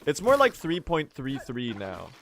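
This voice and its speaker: A man's voice: medium pitch, huge pitch swings, unhurried, fairly bright tone, slight background noise. A man from Sweden, a native Swedish speaker, chatting casually with his viewers while he plays, rambling and correcting himself as he goes. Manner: rambling, self-correcting, chatty, mild swearing. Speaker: speedrunning streamer. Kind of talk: casual livestream chat while playing.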